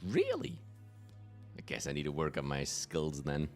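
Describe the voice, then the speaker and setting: A man's voice: very deep voice, huge pitch swings, a little slow, faint music behind. A young man with a German accent, casually chatting and chuckling to himself while he plays a game and answers his chat. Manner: rambling, chuckling, self-talk while playing. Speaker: gaming streamer. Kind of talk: casual let's-play commentary, reading chat.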